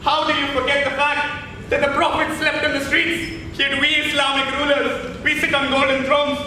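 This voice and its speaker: A man's voice: very high voice, completely flat delivery, moderate pace, bright, forceful tone, a little hiss or hum, full character voice. A man playing an earnest, pious, troubled young crown prince in a stage play, speaking in a pleading, theatrical way. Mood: anguished, impassioned. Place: indoor theatre stage, distant mic. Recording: echoey theatre stage from far off.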